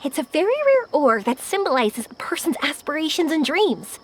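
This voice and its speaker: A woman's voice: sits high, sing-song, moderate pace, slight background noise. A woman playing an upbeat, encouraging, kind young woman with an adventurous streak in a video game. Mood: gentle, encouraging. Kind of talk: video game character acting.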